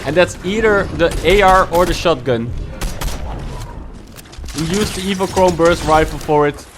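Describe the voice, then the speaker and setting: A man's voice: fairly high pitch, unhurried, noisy background. Man explaining game challenges. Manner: steady, instructive explanations. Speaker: gaming guide youtuber. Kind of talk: game walkthrough commentary.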